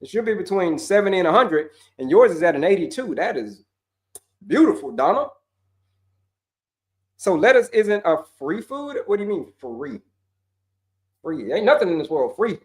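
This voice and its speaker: A man's voice: high-pitched, very animated, moderate pace, pauses often. A man coaching on diabetes, answering viewer questions in a casual, emphatic way, repeating points and dropping slang. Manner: repetitive, emphatic, slangy, addresses viewers as folks.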